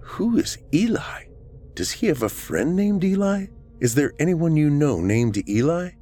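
A man voicing an anxious, excitable adult woman with an expressive, informal manner in an audiobook. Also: a little high, measured pace, soft background music, pitched above the performer's own voice. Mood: startled, questioning.

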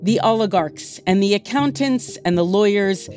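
A woman hosting a news podcast, reading a scripted introduction in a crisp, measured, conversational way. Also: medium pitch, a little mellow, faint music behind. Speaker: podcast host. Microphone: studio microphone.

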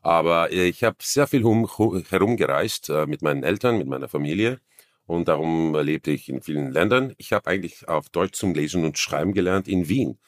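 A man with a slight Viennese accent, an actor guest in a casual interview, talking haltingly and searching for words, often self-deprecating. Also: low voice, lively intonation, no room sound, studio quality.

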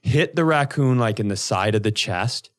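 A man's voice: fairly low pitch, lively intonation, normal speed. A man co-hosting a comedy podcast, telling casual stories in a rambling, profane way with comic exaggeration.

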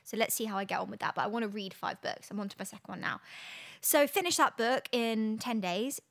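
A woman's voice: medium pitch, fairly quick, vocal fry, a bit bright. A young woman from England hosting a podcast, telling stories in casual chat and laughing easily. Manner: chatty storyteller, laughs easily. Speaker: podcast host.

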